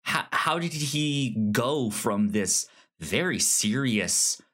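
A podcast co-host in a casual film discussion, talking informally and haltingly with repeated stammered starts. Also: mid-range voice, animated, a bit bright.

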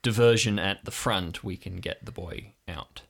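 A man playing an earnest, wary young woman from a compound in an improvised audio drama, speaking in a hesitant, deliberate way. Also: low-pitched, vocal fry, exaggerated voice. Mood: urgent, planning.

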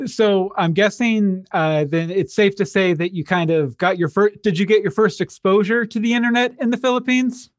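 High-voiced podcast host, dry sound. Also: male voice, normal speed, few pauses, dry recording. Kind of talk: casual interview.

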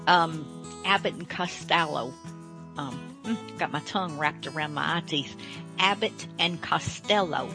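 A woman hosting a radio show casually, folksy, halting and rambling. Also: low-pitched, over music, low-quality recording. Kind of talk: casual unscripted show introduction.

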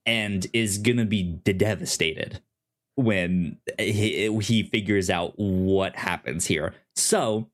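Casual podcast co-host, male, lowish register, halting conversational delivery with frequent stammered repeats. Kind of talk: casual TV review discussion.

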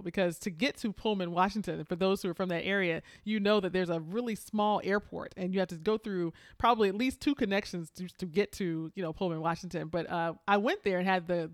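Brisk, friendly female host with a fast pace and a dry close mic. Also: lowish voice, no room sound. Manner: upbeat, talkative, laughs easily. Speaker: podcast host. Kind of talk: casual interview chat.

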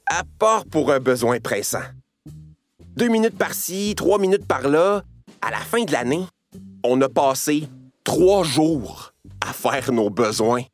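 A man's voice: a little high, expressive, slow, soft background music. A man narrating a scripted educational piece for children, playfully addressing the listener.

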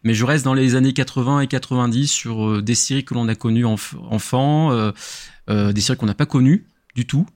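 A middle-aged man explaining his podcast casually, halting and often rephrasing as he goes. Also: mid-range voice, darkish tone, no echo, clean studio sound. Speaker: podcast host appearing as a guest. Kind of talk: casual talk explaining own show.

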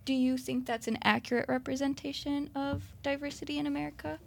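A young woman interviewing a student, asking questions in a measured, earnest way. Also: high voice, steady tone, creaky, slight background noise.

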